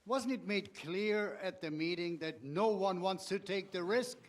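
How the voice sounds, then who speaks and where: A man's voice: high voice, a little slow. A man acting a sceptical, agitated nobleman in a game, challenging and insistent. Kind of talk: dramatic scripted game acting.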